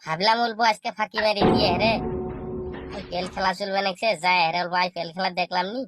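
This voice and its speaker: A woman's voice: medium pitch, steady tone, fast, close to an everyday voice. A woman voicing a lazy, cheeky schoolboy in a comedy cartoon, whining and talking back. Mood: sulky, whining. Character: cheeky, lazy schoolboy shirking his studies. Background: music.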